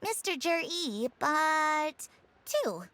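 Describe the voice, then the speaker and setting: A shrewd, bright shopkeeper. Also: female voice, high voice, big pitch swings, very slow, full character voice. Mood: salesy. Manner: courteous salesy patter. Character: polite, shrewd female shopkeeper pushing a sale. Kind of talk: game voice acting.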